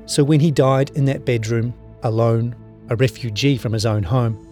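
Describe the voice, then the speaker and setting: A man's voice: medium pitch, steady tone, normal speed, warm tone, soft background music. A man with a New Zealand accent, speaking as a journalist. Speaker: journalist reading his own story.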